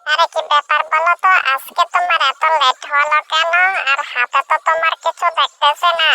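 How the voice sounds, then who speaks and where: A woman's voice: high-pitched, fairly even intonation, moderate pace, gravelly, sharp tone, soft background music, heavily acted. A woman voicing a curious village wife in a cartoon, pressing with questions. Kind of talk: cartoon voice acting.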